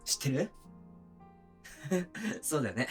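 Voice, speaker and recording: A man acting as a considerate but oblivious young boyfriend in an intimate audio drama, talking casually and softly with pauses. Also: on the high side, big pitch swings, over music. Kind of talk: intimate situation-voice audio drama, naturalistic one-sided dialogue.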